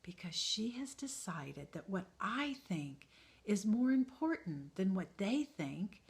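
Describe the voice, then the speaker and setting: Woman doing a gentle old woodcarver, hushed. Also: mid-range voice, big pitch swings, creaky, heavily acted. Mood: tender. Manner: soft, slow, tender, often hushed.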